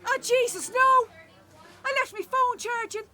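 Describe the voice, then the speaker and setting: A woman's voice: very high voice, measured pace, sharp tone, a faint crowd, slight background noise, exaggerated voice. A woman playing a weary, exasperated Irish mother made homeless with her children, sardonic and colloquial, in a radio drama. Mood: panicked.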